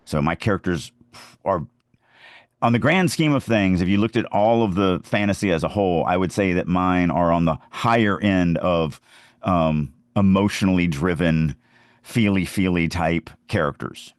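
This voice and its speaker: A man with a deep, low voice talks casually as a podcast host and writing teacher. He is informal and opinionated, explaining things through personal stories. The sound is dry and close, with little room in it. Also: moderate pace, no echo. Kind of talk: casual conversational writing advice.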